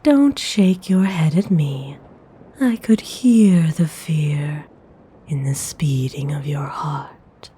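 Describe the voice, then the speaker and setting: A woman plays a courteous vampire noblewoman for ASMR, tender yet hungry. Her voice is a very breathy, husky whisper, low and mellow. She speaks with hushed, old-fashioned politeness. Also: full character voice. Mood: reassuring, considerate. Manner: slow, hushed, tenderly formal.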